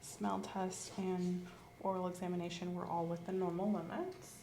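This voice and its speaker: A woman speaking calmly and clinically as an examiner in an ASMR medical role-play, often dropping to a whisper. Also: fairly low pitch, moderate pace, reverberant, slight background noise. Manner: calm, clinical, soft-spoken.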